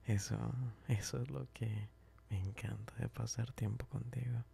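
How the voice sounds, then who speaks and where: A man playing a smitten, tender and teasing best friend in an ASMR roleplay, speaking softly and hesitantly, often whispering and repeating himself. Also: mid-range voice, fairly even intonation, measured pace, full character voice. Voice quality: soft, breathy, often whispering. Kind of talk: ASMR roleplay, intimate chat.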